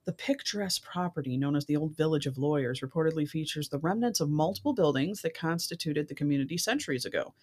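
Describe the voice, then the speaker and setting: A woman's voice: low-pitched, fast talker, hardly any pauses, darkish tone. A woman hosting a casual talk show, reading out odd news stories and dropping in informal asides.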